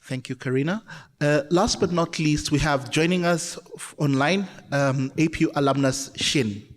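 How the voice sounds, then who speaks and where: Man hosting a panel, higher pitch. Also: moderate pace, big-room sound. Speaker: host. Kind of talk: introducing panelists.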